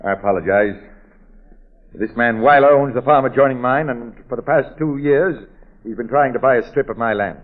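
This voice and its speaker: An actor playing a weary, irritable older gentleman landowner, formal and curt, in a 1940s radio drama. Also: mid-range voice, moderate pace, lightly acted. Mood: weary, apologetic. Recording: tinny old radio recording.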